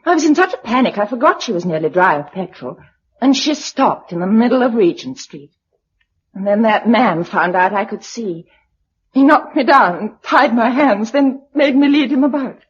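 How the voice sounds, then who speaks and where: A dull, lo-fi radio drama recording carries a well-bred young Englishwoman, polite but shaken. Her English voice sits fairly high and swings widely in pitch, with a genteel, hesitant, vulnerable delivery. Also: expressive, moderate pace, breathy edge. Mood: anxious recounting.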